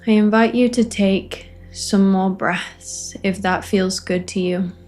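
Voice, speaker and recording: A woman facilitating a guided meditation, offering gentle invitations in short phrases with long pauses between them. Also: medium pitch, steady tone, soft background music. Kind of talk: guided meditation, spoken softly to listeners.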